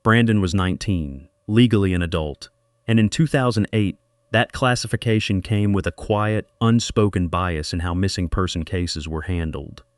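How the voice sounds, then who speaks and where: A man narrating a scripted true-crime documentary in measured, dramatic short phrases. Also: deep voice, no room sound, studio quality.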